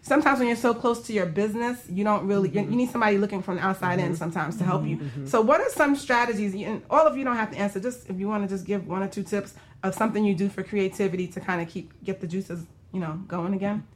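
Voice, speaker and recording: A woman's voice: mid-range voice, fast talker, few pauses. A woman hosting a casual group talk show in an informal, joking way.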